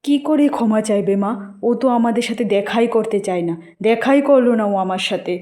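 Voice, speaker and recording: A woman's voice: on the high side, a little fast, warm tone, close to an everyday voice. A woman voicing an earnest, troubled young husband and dutiful son in a cartoon. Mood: dejected.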